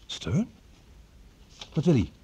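A man's voice: slow pace, warm tone, close to an everyday voice. An actor playing an earnest, polite male scientist in an old radio drama, with formal phrasing. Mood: insistent. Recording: noisy old radio recording.

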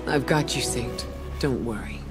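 A woman's voice: very deep voice, a little slow. Worried protective game commander, firm and urgent, music playing under her. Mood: concerned. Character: earnest, commanding female guardian leader, worried and protective. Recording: music behind the voice.

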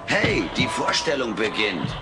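A man's voice: fairly low pitch, sing-song, a little slow, over loud music. A man voicing a cheeky furry alien who boasts as an amateur magician in showy, pompous patter, in a comedic radio play. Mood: showy, boastful. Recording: noisy old cassette transfer.

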